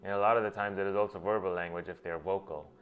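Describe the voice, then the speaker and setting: A man's voice: deep voice, fairly even intonation, fast talker. A man whose first language is Gujarati, hosting and thinking aloud in a reflective monologue, often restarting his phrases as he works through ideas. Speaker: host. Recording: dull lo-fi with faint music.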